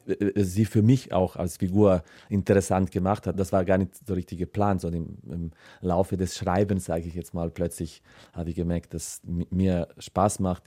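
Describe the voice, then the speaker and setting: A male writer answering interview questions. His voice is low and extremely mellow, recorded close and dry, and he searches hesitantly for his phrasing. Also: no room sound. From former Yugoslavia.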